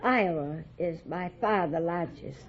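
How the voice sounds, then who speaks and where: Slow-talking older woman contestant. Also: on the low side, background noise. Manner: hesitant, formal. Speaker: quiz show contestant. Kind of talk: answering a host's questions. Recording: muffled old radio recording.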